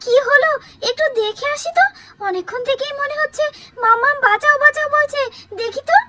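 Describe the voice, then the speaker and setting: Squeaky, extremely high little girl. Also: fairly quick, pushed and bright. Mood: worried. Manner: whining, pleading cries. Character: frightened little girl doll calling for her parents. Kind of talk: doll-play story acting. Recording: very noisy, hissy track.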